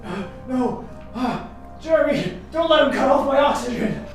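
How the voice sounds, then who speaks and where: Trapped scientist for radio drama, played by a woman: high, frightened, bitter, very echoey and distant. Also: expressive, moderate pace. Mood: pleading. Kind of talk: amateur radio play acting. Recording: echoey, distant, music underneath.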